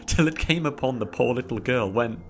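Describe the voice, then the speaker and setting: A man narrating a story in a dramatic, expressive audiobook style, drawing out words theatrically. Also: mid-range voice, normal speed, a little hiss or hum. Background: music behind the voice.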